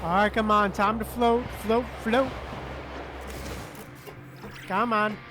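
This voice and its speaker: A man's voice: sits high, steady tone, slow, noisy background. A man giving casual gameplay commentary as a streamer, muttering short, laid-back reactions. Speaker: streamer playing a game. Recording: game music behind the voice.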